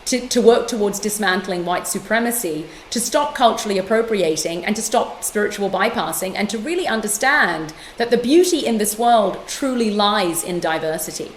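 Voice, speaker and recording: A middle-aged woman from London, speaking as an interview guest in a passionate, emphatic way with quick restarts. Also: medium pitch, lively intonation, roomy sound, a little hiss or hum. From London, England. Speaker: guest author and yoga teacher. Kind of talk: conversational interview.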